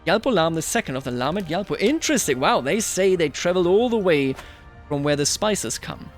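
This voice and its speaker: Higher-pitched man narrating a strategy game. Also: very animated, brisk. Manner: thinks aloud, slips into theatrical address to characters. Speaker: gaming video host. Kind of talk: live roleplay commentary over a strategy game. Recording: music behind the voice. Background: game music.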